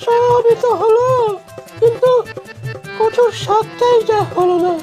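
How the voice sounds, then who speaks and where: A squeaky, boastful old uncle. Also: fairly even intonation, slow pace, warm tone, poor audio. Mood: pleading, amazed. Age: older. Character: boastful, fussy old village uncle in a cartoon. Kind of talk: animated cartoon dialogue. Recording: music behind the voice.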